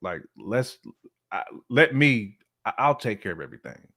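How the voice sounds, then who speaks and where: A middle-aged man with a fairly low voice talks as a guest in a casual relationship discussion. He explains his thoughts reflectively, in everyday colloquial wording. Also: big pitch swings, normal speed, bright, forceful tone. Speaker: podcast guest.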